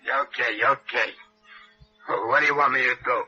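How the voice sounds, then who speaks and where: A man's voice: medium pitch, fairly even intonation, moderate pace, background noise, close to an everyday voice. A man acting a scared, hard-drinking ex-convict in a 1960s radio detective drama, stammering and faltering. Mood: frightened, stammering. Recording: old radio broadcast, dull, faint music.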